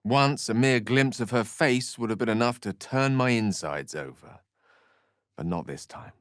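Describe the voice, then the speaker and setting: A man's voice: mid-range voice, a little slow, clear, resonant tone, no echo, studio quality, close to an everyday voice. A man playing an erudite, courteous and wry wizard in a video game, speaking in eloquent, theatrical sentences. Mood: somber. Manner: eloquent, articulate, theatrical, wordy. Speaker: video game character voice actor.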